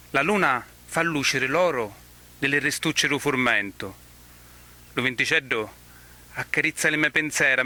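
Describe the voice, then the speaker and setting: Bright, forceful Sicilian man reciting poetry. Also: mid-range voice. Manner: declamatory, measured phrases with pauses. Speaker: poet.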